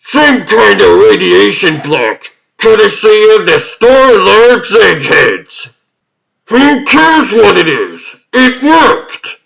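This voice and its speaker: A man voicing gruff, frantic military squad members, barking urgent orders and reports in a strained, gravelly voice for a comic dub. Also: high-pitched, very animated, slow pace, fairly bright tone, heavily acted, low-quality recording. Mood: wary. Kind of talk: comic dub action acting.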